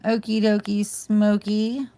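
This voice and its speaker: A woman's voice: medium pitch, little pitch movement, slow, warm tone. A woman hosting a live chat, breezy and teasing with the speakers. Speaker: host. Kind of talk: casual chat.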